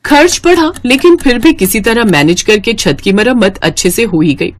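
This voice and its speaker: A woman's voice: on the high side, lively intonation, normal speed. A woman reading scripted narration for a moral family story.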